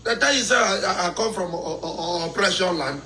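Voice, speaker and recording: Nigerian male caller, high and bright, boastful, Pidgin-joking. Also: lively intonation, a little fast. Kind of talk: live call-in chat.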